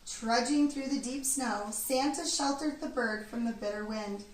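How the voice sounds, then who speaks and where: A woman reading a children's storybook aloud in an expressive, deliberate storytelling manner. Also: a little high. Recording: echoey room, distant mic, background noise.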